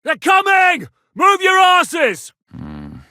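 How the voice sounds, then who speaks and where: An actor playing a gruff, blunt medieval war captain who shouts battle orders. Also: male voice, very high voice, huge pitch swings, slow, dry recording, studio quality, exaggerated voice. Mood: shouting orders, then grim. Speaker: military commander. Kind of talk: game voice lines.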